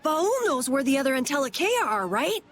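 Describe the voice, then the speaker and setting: Excitable, high-pitched young boy. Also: brisk, a little hiss or hum. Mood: earnest, curious. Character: excitable young boy, timid but defiant. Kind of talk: English video game voice acting.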